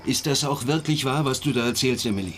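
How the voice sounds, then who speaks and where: A man's voice: mid-range voice, a little fast, a bit bright, slight background noise, lightly acted. A man playing a brooding, suspicious father in a radio crime drama, with a hesitant, probing delivery. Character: suspicious, brooding father questioning his daughter.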